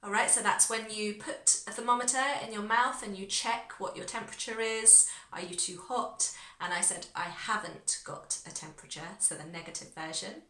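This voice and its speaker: A woman teaching an online English lesson, encouraging and clear, saying phrases slowly for learners to repeat. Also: medium pitch, pushed and bright, echoey room.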